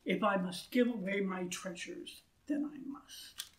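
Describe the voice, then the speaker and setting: A man's voice: high-pitched, unhurried, roomy sound. A woman voicing a proud, possessive, frustrated king in a storybook, with a grudging, exclamatory manner.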